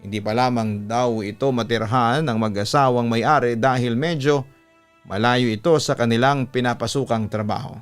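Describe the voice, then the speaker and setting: A man with a Filipino accent narrating a scripted story like a radio announcer, with measured, deliberate, dramatic phrasing. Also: medium pitch, fairly even intonation, smooth. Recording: music bed under the voice. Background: background music.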